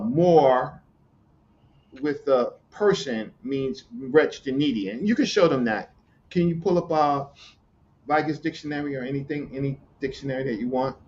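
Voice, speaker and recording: A man from Virginia, explaining hieroglyphs in casual chat, halting, with many fillers and repeated words. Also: medium pitch, a little mellow, a little hiss or hum. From Newport News, Virginia, USA. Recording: muffled lo-fi audio with faint ambience.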